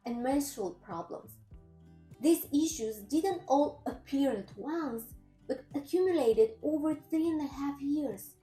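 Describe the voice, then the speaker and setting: A Bulgarian-accented woman in her thirties speaks in a high, soft-toned voice, earnest and measured as she tells her story to camera. The room rings with echo, and light music sits underneath. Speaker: personal trainer and nutritionist. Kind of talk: personal story vlog. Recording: roomy echo with faint music.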